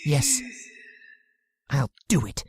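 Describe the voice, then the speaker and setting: Husky, timid henpecked husband acted by a woman. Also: a bass voice, wildly swooping pitch, very slow, darkish tone, faint music behind, full character voice. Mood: resentful, giving in. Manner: stammering, hesitant, pleading. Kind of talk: old-time radio horror drama acting. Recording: reverberant hall sound.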